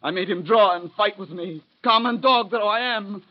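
An actor playing a wounded, vengeful peasant youth with declamatory, impassioned delivery in old radio drama style. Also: male voice, high-pitched, normal speed. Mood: defiant, anguished. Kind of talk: 1930s radio drama acting. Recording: dull vintage radio recording.

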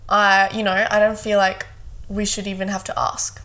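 A woman in her mid-twenties from South Africa, speaking as a guest co-host in a relaxed, thoughtful podcast chat. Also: mid-range voice, moderate pace. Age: 20s. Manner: relaxed, thoughtful, fond of "hundred percent".

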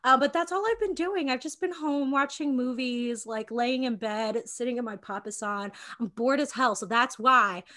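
Young woman, podcast co-host, nasal from a cold, rambling and upbeat, bright tone. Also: a little high, normal speed, few pauses. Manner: chatty, rambling, frequent fillers and laughs. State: congested with a cold and cough. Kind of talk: casual podcast chat.

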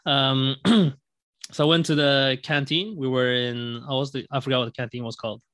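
A man's voice: mid-range voice, steady tone, normal speed, a bit bright, no echo. A young man from Sweden telling a story as a podcast guest, with many fillers and restarts. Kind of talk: casual storytelling.

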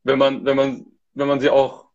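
A man's voice: mid-range voice, completely flat delivery, normal speed, darkish tone, muffled recording. A man from Hamburg chatting casually as a guest on a live stream, hesitant, with many restarts and fillers. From Hamburg, Germany. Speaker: content manager, guest. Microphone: video call.

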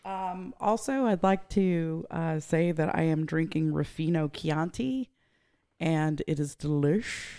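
A hoarse woman co-hosting a casual podcast, explaining X-ray anatomy in a chatty way. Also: low-pitched, slow pace, warm tone. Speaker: podcast co-host.

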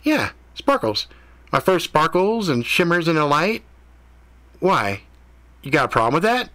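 A man's voice: big pitch swings, a little slow, bright, forceful tone, dry recording, full character voice. A man voicing a sassy female fey cat who talks in blunt slang. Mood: defensive. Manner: brash, slangy, high-pitched. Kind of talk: audiobook character voice.

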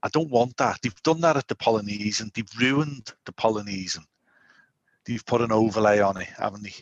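A man in his forties chats loosely on a podcast, starting and restarting his thoughts. His voice sits low with a husky edge. The sound is close and dry. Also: normal speed, no echo, highs cut off. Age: 40s. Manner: rambling, animated, self-interrupting. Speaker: podcast co-host. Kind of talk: casual chat.

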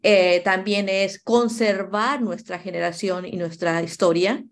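A woman's voice: medium pitch, steady tone, measured pace. A woman hosting a conversational interview, speaking affirmingly and repeating phrases for emphasis. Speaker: podcast host, interviewer.

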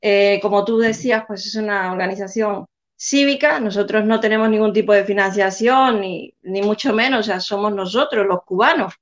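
A woman's voice: mid-range voice, moderate pace, lo-fi sound. A young Cuban-born woman with a Spanish accent, speaking as a guest and pressing her points with impassioned repetition. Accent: Spain Spanish. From Cuba. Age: young adult. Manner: impassioned, repeats phrases for emphasis. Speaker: guest, civic group representative. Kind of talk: earnest advocacy in a live chat.

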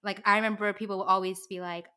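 Give me a woman from New York City hosting a podcast, casual and chatty, with a bright voice. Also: medium pitch, flat delivery, clear, resonant tone. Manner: quick and chatty, with frequent "like" and laughs. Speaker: podcast host. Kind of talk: casual conversation.

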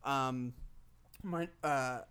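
A man's voice: medium pitch, very slow, a bit bright, smooth. A man hosting a casual podcast interview at a convention, talking hesitantly with lots of ums and uhs. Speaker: podcast host.

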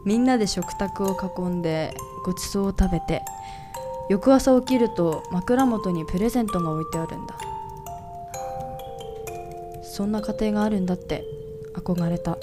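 A brooding young man with a dark past as acted by a woman speaks over clearly audible music. He is cool and reserved, taking his lines slowly, with a wistful edge suited to a homemade radio drama. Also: medium pitch, close to an everyday voice. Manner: slow, reserved, terse, wistful. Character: cool brooding young man, former member of shady organization. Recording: music behind.

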